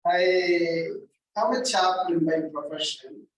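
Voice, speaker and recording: Slow-speaking accented man chaplain. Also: high-pitched, fairly even intonation. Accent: non-native English accent. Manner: deliberate, poses questions to listeners. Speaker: chaplain giving a talk. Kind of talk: teaching talk to an audience. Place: large echoey hall. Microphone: distant from the mic. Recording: echoey hall from far off, faint noise.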